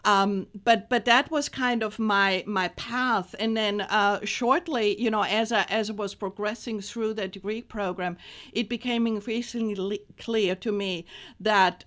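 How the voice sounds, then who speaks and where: A woman's voice: medium pitch, fairly even intonation, a little slow, a bit bright. Austrian-accented woman, earnest radio guest. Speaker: business school dean, guest. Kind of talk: radio studio interview. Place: radio studio.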